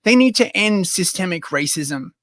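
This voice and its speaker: Wordy, crude Australian man, bright voice. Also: high voice, animated, moderate pace, dry recording, clean studio sound. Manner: crude, sarcastic and wordy, with coarse jokes. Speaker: podcast co-host. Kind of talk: casual podcast chat.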